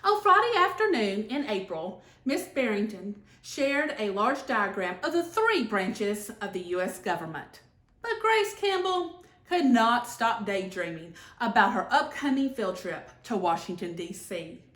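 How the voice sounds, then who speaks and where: A woman reading a children's picture book aloud in an expressive, deliberate storytelling manner. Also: on the high side. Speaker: senator and former teacher reading aloud. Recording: echoey room.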